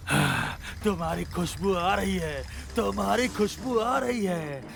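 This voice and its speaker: A man playing a hungry, menacing wolf in a cartoon dub, gloating in drawn-out threats. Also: high voice, animated, creaky, fairly bright tone, a little hiss or hum, exaggerated voice. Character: menacing, hungry male wolf hunting cubs for fresh meat. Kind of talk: Hindi cartoon dub acting. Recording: music under the voice.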